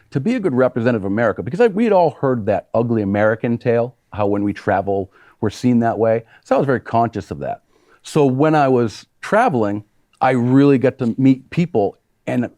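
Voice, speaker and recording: A man's voice: medium pitch, darkish tone, no echo. A man from New Hampshire, a congressional candidate, telling his story in an earnest, rambling way with fillers. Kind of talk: interview storytelling. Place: small TV studio.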